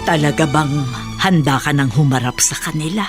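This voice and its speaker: An actress playing a wise, stern but caring older woman who admonishes in a measured way, Tagalog radio drama. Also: sits low, lightly acted. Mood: cautioning. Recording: music behind the voice.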